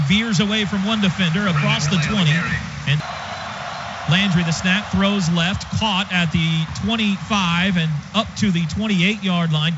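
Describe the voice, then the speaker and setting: Guy calling football on the radio, high voice, clipped phrases, dull sound, lots of noise behind. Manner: clipped running description. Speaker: play-by-play announcer. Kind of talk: live radio football commentary. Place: stadium radio booth. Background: clear crowd and stadium noise.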